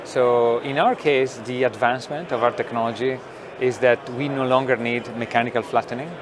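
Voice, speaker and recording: A middle-aged man, a company founder explaining technology in an interview, thoughtful with many pauses and fillers. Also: mid-range voice, steady tone, crowd noise. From Italy. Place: trade show booth studio.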